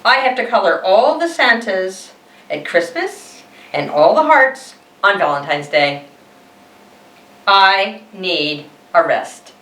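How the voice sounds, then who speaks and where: A woman's voice: lowish voice, fairly bright tone, far from the mic, pitched below the performer's own voice. Woman playing an overworked, hesitant red crayon, echoey room. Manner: hesitant, halting, apologetic. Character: overworked red crayon, nervous, earnest, complaining.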